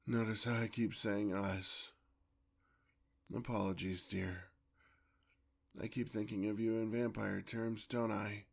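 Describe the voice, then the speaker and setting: A man playing a weary, tender, courtly male vampire in an ASMR roleplay, with a husky, gravelly voice and formal, old-fashioned speech. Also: fairly low pitch, flat delivery, slow pace, pauses often, exaggerated voice. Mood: apologetic, affectionate.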